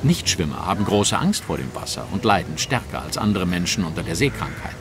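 Shrewd master detective, deepish voice, swooping intonation, precise, formal, audio drama. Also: male voice, sing-song, a little fast, noisy background. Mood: brisk, instructing. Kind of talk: German audio drama acting.

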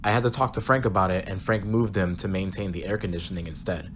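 A man's voice: low-pitched, steady tone, quick pace, a bit bright, slight background noise. A man playing a defensive, awkwardly rambling supervisor in an audio drama. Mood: defensive, flustered. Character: flustered, defensive male downstairs supervisor.

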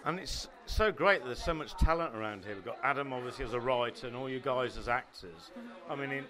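A man's voice: medium pitch, brisk, sharp tone, some chatter behind. A man with an English accent interviewing guests at a launch, asking chatty, friendly questions.

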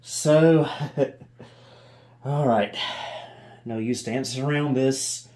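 A man's voice: mid-range voice, very slow, mellow. A man giving casual hands-on commentary as a video host, thinking aloud and often unsure. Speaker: host of a vintage computer video channel. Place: indoors in a home room.

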